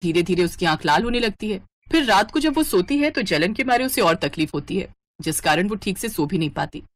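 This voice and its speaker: Woman, storyteller, mid-range pitch, scripted narration of a family tale. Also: animated, fairly quick.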